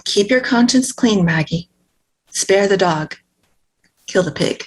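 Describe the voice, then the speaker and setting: A woman's voice: medium pitch, lively intonation, slow, rough recording. A woman playing a determined, world-weary prison runaway in a screenplay table read, terse and guarded. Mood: urgent.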